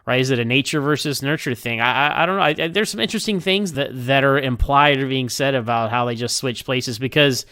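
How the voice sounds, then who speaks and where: A man giving casual, unscripted recorded feedback as a listener, rambling and halting, often repeating words as he goes. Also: mid-range voice, bright, forceful tone, clean tone, no room sound. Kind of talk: casual, unscripted episode review.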